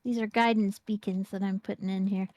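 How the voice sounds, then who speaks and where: A woman's voice: mid-range voice, level tone, brisk, darkish tone, dry recording. A woman co-hosting a game stream, chatting in a playful, rambling way and often restarting her sentences. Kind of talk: casual gameplay chat.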